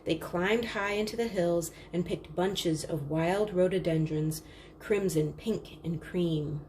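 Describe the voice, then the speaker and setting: Lower-voiced woman reading a storybook, noisy recording. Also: slow pace, darkish tone. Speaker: storybook reader. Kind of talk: scripted children's story read-aloud. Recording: clearly noisy background.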